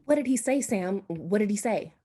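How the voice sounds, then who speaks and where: An actress playing an anxious, frightened wife in a radio drama, speaking dramatically and haltingly with repeated phrases. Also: female voice, mid-range voice, animated, mellow, husky. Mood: confused, alarmed.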